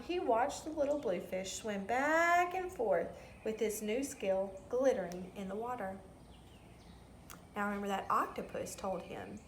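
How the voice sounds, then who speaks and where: A woman reading a children's story aloud expressively, with simple teacherly asides. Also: a little high. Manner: expressive, explains words to children. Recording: roomy echo, some background noise.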